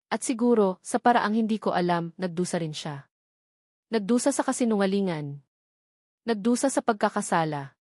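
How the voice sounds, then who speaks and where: A woman's voice: medium pitch, no room sound. Dry, close-sounding woman narrating a romance. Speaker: narrator of a love story. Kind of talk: scripted first-person romance narration.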